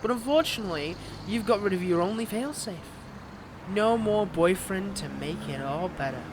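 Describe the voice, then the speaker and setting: Male villain, played by an actor for romantic audio drama: scorned ex, mocking and seductive, teasing drawn-out delivery, clearly noisy outdoor backdrop. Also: sits high, lively intonation. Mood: taunting, resentful. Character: flirtatious, taunting male villain, a bitter scorned ex-lover. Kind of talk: dramatic audio roleplay acting addressed to listener.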